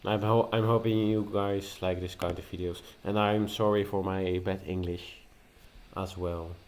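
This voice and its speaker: Male tutorial host, low and unhurried, halting and a little nervous, faint hiss behind. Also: level tone, a little mellow. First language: Dutch. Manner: nervous, hesitant, with many fillers and restarts. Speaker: tech video host. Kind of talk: casual screen-recording tutorial.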